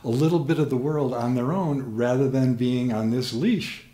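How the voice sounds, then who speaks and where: Older American man explaining in measured phrases. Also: medium pitch, steady tone, mellow. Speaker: guest expert, sports sociologist. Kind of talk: explanatory interview talk. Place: small plain room at a table, indoors. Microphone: desk microphone on stand.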